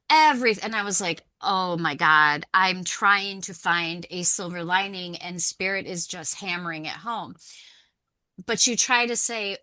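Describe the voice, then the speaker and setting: A woman co-host with a bright, clear voice tells stories in a chatty way and acts out the voices of the people in them. The sound is dry and close, with no room around it. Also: mid-range voice, no echo. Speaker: podcast co-host and tarot reader. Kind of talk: casual podcast conversation.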